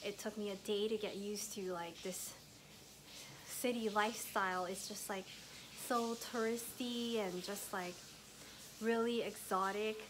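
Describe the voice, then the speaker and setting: A young woman in her twenties, raised in the US, rambling casually to her phone camera in a hotel room, filling every pause with "like". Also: medium pitch, steady tone, background noise. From Thai, raised in the US. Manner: rambling, heavy use of "like", soft and chatty. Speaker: travel vlogger. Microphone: phone camera at arm's length.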